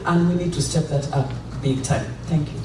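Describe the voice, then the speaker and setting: A woman's voice: low-pitched, normal speed. A woman speaking as a panelist at a conference. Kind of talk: panel talk. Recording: echoey hall, distant mic, noisy.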